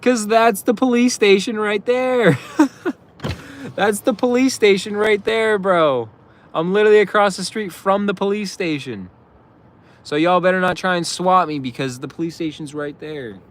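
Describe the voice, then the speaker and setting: A man's voice: high-pitched, unhurried. A young man with a slight cold hosts a casual live stream outdoors on his phone, rambling and laughing easily with viewers. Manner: laid-back, rambling, full of "like" and "bro", laughs easily. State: has a slight cold, sniffly. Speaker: YouTuber hosting a live stream. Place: outdoors, across the street from a police station.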